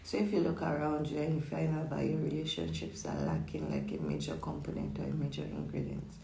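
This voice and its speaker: A psychic astrologer gives a casual weekly horoscope reading in a husky, croaky, just-woken-up voice. The speech is chatty and full of repeats, with lots of "like" and "okay?". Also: medium pitch, animated, brisk, dark tone. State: hoarse from just waking up. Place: indoor room with echo. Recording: echoey room.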